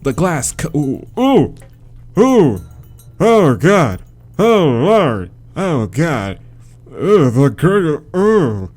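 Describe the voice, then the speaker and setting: American guy doing a slow dramatic read of a visual novel, slangy reactions thrown in, music going behind him. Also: fairly high pitch. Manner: expressive, slangy asides and exclamations. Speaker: let's-play host reading a visual novel.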